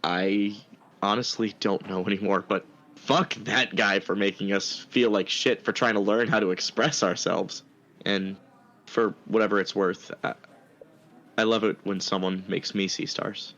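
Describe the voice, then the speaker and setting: Man as low-voiced earnest art student narrator. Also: steady tone, a little slow, fairly bright tone, lo-fi sound. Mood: shy, supportive. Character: sympathetic, smitten young male art student narrator. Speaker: narrator and actor in audio fiction. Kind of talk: first-person story narration and dialogue.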